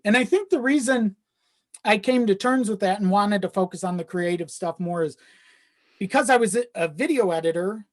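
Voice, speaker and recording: A man chatting casually as a podcast guest, rambling along and acting out his own asides. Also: sits high.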